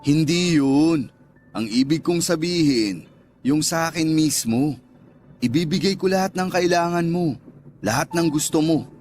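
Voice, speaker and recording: An actor playing a smooth-talking, indulgent restaurant owner courting his lover in a radio drama, casual and coaxing. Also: a little high, expressive, measured pace, darkish tone. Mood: coaxing, generous. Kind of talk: Tagalog radio drama dialogue. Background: background music.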